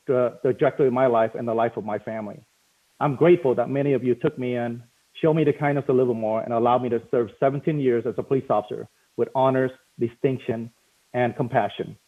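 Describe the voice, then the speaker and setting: Guy, 40s, quick earnest speech, tinny call audio. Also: medium pitch. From Cambodia. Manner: earnest, reads steadily with brief fillers. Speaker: mayoral candidate. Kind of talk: prepared opening statement. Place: home office on a video call.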